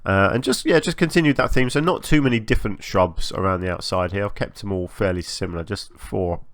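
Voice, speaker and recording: A man giving casual, chatty commentary as host of a gaming channel, a little hoarse from a long recording session, hesitant and self-effacing. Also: lowish voice, a little fast, no room sound, clean studio sound. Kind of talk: casual game build commentary.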